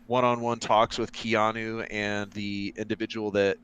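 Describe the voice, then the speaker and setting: A man with a smooth, resonant voice co-hosts a casual podcast. He explains things in a loose, hesitant way, with plenty of fillers as he goes. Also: on the low side, flat delivery, a little slow, a bit bright, rough recording. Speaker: podcast co-host. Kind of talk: casual chat.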